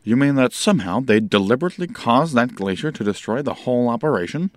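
A teenage actor playing a breezy, blunt insurance investigator in an old-time radio drama. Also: male voice, medium pitch, expressive, fast, lightly acted. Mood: incredulous.

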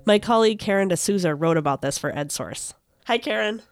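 A woman's voice: medium pitch, lively intonation, brisk, soft background music. An American woman hosting a podcast, moving between scripted narration and interview questions. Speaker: podcast host.

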